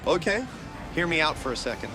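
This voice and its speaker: Man playing a sardonic, higher-pitched wisecracking ghost hunter. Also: big pitch swings, fairly quick. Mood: deadpan scheming. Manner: dry, sarcastic. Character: wisecracking, sardonic male ghost hunter, laid-back schemer. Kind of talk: motion comic voice acting. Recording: loud music bed under voice.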